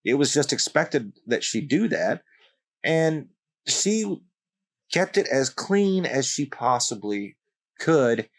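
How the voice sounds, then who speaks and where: Guy telling an odd true story, chatty, mid pitch voice, tosses in jokey little asides. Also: sing-song, slow pace. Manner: conversational, wry asides to the viewer. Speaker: channel host. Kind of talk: casual true-story narration.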